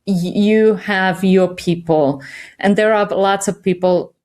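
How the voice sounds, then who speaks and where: A woman's voice: mid-range voice, steady tone, slow pace, darkish tone. A woman with a Finnish accent, a meditation guide speaking candidly and reflectively in an interview. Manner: reflective, candid, frequent light laughs. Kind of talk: conversational interview.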